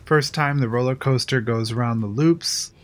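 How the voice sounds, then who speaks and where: A tense, chain-smoking park engineer, as a man acts him out, guarded and matter-of-fact. His voice sits at an ordinary mid pitch. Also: normal speed, natural voice. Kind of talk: fan audio drama acting.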